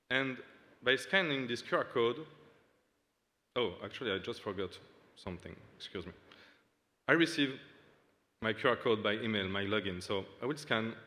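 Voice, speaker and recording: A man's voice: medium pitch, pushed and bright. A man from Switzerland giving a hesitant, earnest startup demo on stage into a lectern mic. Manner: halting, earnest, many fillers. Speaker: startup founder demoing. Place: large dark hall on stage. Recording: long hall reverb.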